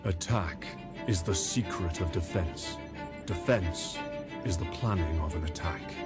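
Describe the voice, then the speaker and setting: An actor playing a grim, devout imperial naval officer, giving solemn, formal game narration. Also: male voice, low-pitched, a little slow, over loud music. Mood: solemn, resolute. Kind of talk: scripted cinematic narration.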